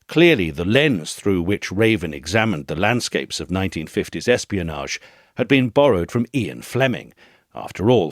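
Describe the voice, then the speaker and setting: A man narrates a literary essay in a lowish, widely varied voice, shaping each phrase with measured, articulate care. The recording is dry and close, with no room sound. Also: dry recording. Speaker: audio essay reader.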